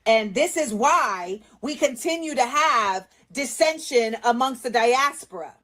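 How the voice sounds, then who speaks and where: A woman offering reflective, wry commentary in a video clip, speaking in a measured way. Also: on the high side, expressive, a bit bright.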